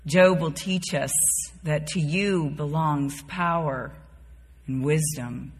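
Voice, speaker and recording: A woman's voice: sits low, slow pace, slightly rough. A woman in her fifties from the Bahamas and North Carolina, teaching a Bible study group in an informal, folksy, conversational way. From born and raised in the Bahamas, later North Carolina. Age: 50s. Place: large reverberant room, speaking to a group. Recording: reverberant hall.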